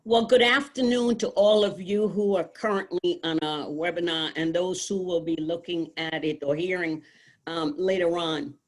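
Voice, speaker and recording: A woman nursing professor and department chair giving formal opening remarks in a measured, solemn way over a video call. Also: lowish voice.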